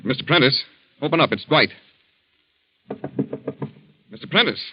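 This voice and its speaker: An actor playing an urgent, take-charge American suitor in an old radio drama, husky and commanding. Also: male voice, medium pitch, lively intonation, measured pace, faint music behind. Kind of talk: 1940s-50s radio drama acting. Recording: dull old radio recording.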